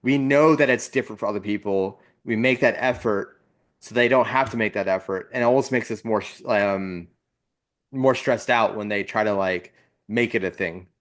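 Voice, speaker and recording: Co-host: man, fairly low voice, calm and explanatory. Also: normal speed. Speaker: podcast co-host. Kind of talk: casual podcast interview.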